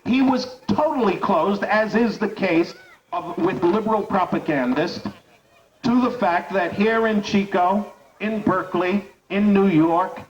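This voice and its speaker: A man who hosts a radio talk show, delivering a rousing speech to an audience with emphatic, repeated rhetorical build-ups. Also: high voice. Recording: dull, distant recording.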